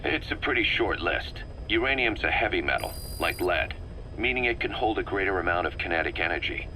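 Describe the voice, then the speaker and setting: A man's voice: low voice, animated, a little fast, sharp tone, slight background noise, poor audio. A man voicing a knowledgeable technical advisor explaining weapons technology in a video game.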